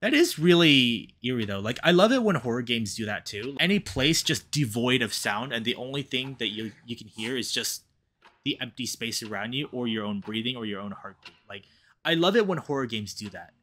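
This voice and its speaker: A man hosting a visual novel playthrough, talking in an expressive, emphatic and casual way. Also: mid-range voice, a little fast. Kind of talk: dramatic read-aloud with casual commentary.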